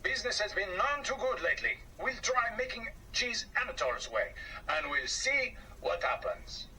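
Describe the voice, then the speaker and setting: A grand, pompous storybook cheese-factory owner, played by a man, speaking formally and sprinkling in French words. The voice is raised a little, lively and bright. It is heard from a distance over a faint hiss. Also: sits high, moderate pace, voice pushed up, heavily acted. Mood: commanding. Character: pompous middle-aged male cheese factory boss.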